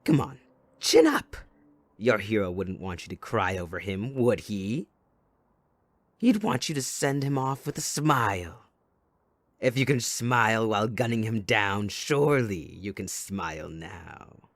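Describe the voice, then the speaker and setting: A man playing a sadistic, possessive supervillain kidnapper in an audio roleplay, taunting theatrically and mock-sweetly, moving between hushed menace and outbursts. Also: fairly low pitch, expressive, a little slow, dry recording. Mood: mock-mournful, taunting. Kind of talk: dramatic audio roleplay monologue.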